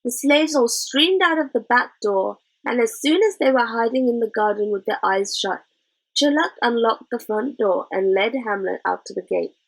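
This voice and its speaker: A woman's voice: a little high, lively intonation, moderate pace, rough recording. A woman reading a children's adventure story aloud as scripted narration. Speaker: audiobook reader.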